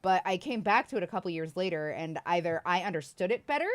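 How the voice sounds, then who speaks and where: A woman's voice: fairly low pitch, lively intonation, fast talker. A woman in her thirties from Iowa, chatting casually with livestream viewers in a rambling, self-deprecating way, swearing and laughing often. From Iowa, United States.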